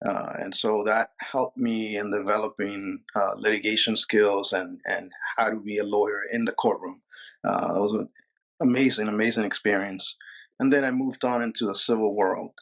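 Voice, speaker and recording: Brief: lawyer guest from Peru, low-pitched man, thoughtful and halting, lots of "uh" and restarts. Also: fairly bright tone, rough recording. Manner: thoughtful, many fillers and repeated words. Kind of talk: casual interview answers.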